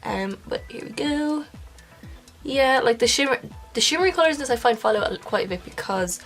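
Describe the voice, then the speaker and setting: A young woman, nasal and congested, chatting casually through a makeup tutorial, now and then dropping into a soft whisper. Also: fairly high pitch, lively intonation, brisk, soft background music. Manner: chatty, self-correcting, breaks into soft whispered asides. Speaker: makeup vlogger. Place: indoors at home, filming a close-up makeup tutorial.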